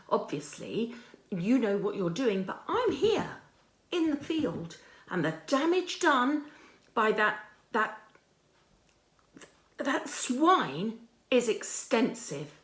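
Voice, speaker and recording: A woman's voice: mid-range voice, sing-song, takes it slowly, pauses often. A woman playing an earnest, deferential undercover agent, reporting to a superior in a hesitant, confiding video-diary monologue. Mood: confiding, determined. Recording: roomy, echoing amateur online recording.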